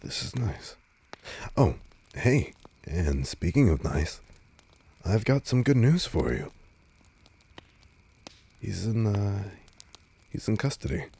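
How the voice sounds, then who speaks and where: A man playing a laid-back, teasing, protective vampire on a date in an intimate audio roleplay, speaking casually with a husky, breathy voice. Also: on the low side, sing-song, takes it slowly, pauses often, warm tone, heavily acted. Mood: teasing, fond.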